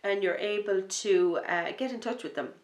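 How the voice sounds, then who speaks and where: A woman hosting a knitting podcast, chatting in a rambling, enthusiastic way, a little sniffly from a starting cold, sometimes dropping to a soft near-whisper. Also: mid-range voice, moderate pace, echoey room. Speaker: knitting podcast host and designer. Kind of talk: casual chat to camera.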